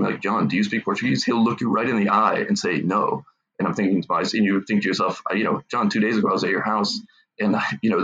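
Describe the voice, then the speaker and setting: A man from Massachusetts, an author and academic, answering in an unscripted interview in a halting way, with many restarts and repeated words. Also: fairly low pitch, gravelly, fairly bright tone, breathy edge, dull audio.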